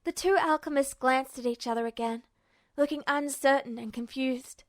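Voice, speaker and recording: A woman reading fiction aloud as an expressive audiobook narrator. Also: sits high, normal speed, no echo.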